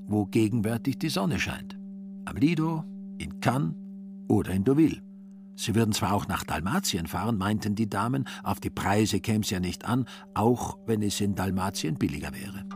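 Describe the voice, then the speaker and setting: A man reading scripted literary narration as the narrator of a radio play. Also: deep voice. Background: music.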